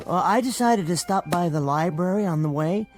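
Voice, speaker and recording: Nerdy meek bank teller man, high voice, swoops around a lot, apologetic and rambly, radio play. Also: lively intonation, normal speed, soft background music. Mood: fretful chatty. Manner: fussy, rambling, apologetic, earnest. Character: meek, bookish, absent-minded little male bank teller. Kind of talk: radio drama acting.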